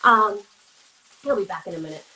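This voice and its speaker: A woman's voice: medium pitch, moderate pace, sharp tone. A woman hosting a casual interview show while cooking, chatting informally to her guest and viewers. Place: kitchen, cooking along on a livestream. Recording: noisy video call with kitchen sizzle. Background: food sizzling, hiss.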